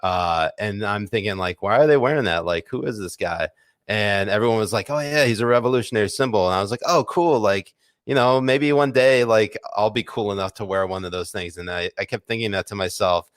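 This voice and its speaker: A man's voice: lowish voice, a little fast, clear, resonant tone, no room sound, clean studio sound. A man in his thirties co-hosting a podcast, chatting casually, swearing freely and laughing often as he rambles through stories. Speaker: podcast co-host. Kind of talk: casual chat.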